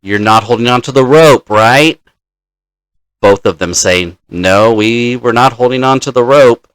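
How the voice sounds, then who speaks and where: Guy game master storytelling about a session, takes his time explaining, middle pitch, dry close sound. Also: a little slow, fairly bright tone, clean tone, no room sound, poor audio. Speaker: host, dungeon master.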